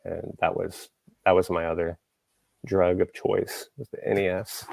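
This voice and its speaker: A man's voice: sits low, flat delivery, a little slow, creaky, a little mellow, no room sound. A man from Texas, hosting a show and chatting casually, rambling with lots of fillers and restarts. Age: adult, grew up in the 1980s. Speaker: show host. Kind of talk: casual podcast chat.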